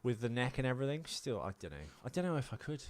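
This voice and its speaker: A man with an average-pitched voice chats as a podcast co-host. He rambles through anecdotes in a casual comic style, stopping and restarting, with crude jokes along the way. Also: lively intonation, fast.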